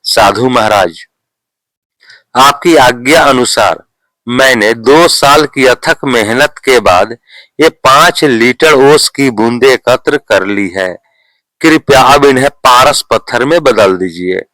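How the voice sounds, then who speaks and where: An eager, trusting village man in a moral storybook, played by a male narrator. He pleads respectfully and very slowly at a medium pitch, recorded dry. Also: a bit bright, no room sound, poor audio. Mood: respectful, earnest. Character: eager, gullible male villager seeking a magic stone.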